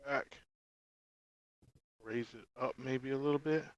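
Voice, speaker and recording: A man giving a casual product review, explaining informally in short, halting phrases. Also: mid-range voice, no room sound, muffled recording. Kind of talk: casual gear review vlog.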